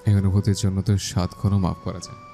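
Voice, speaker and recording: A man playing a brooding, devoted young husband in an audio love story, with a gravelly voice and romantic declarations. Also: flat delivery, dark tone, faint music behind. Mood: tender, grateful. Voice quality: gravelly, low voice. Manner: slow romantic declarations to his beloved.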